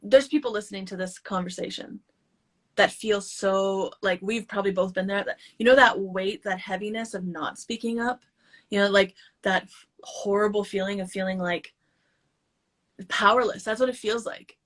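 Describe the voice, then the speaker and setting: A woman's voice: mid-range voice, fairly even intonation, normal speed. A woman hosting an interview, asking rambling questions with many "like" fillers. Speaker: podcast host. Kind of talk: casual conversational interview.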